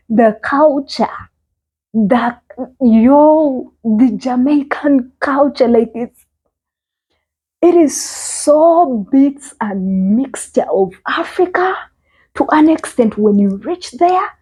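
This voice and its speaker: Impassioned Ugandan woman, husky voice. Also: on the high side, dark tone, poor audio. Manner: impassioned, emphatic, repeats phrases for stress. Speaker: vlogger. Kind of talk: opinion commentary to camera.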